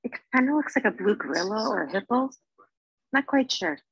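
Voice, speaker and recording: A woman's voice: on the high side, animated, a little fast, fairly bright tone, breathy edge, no echo, muffled recording. A woman on a podcast panel, chatting casually about a TV episode.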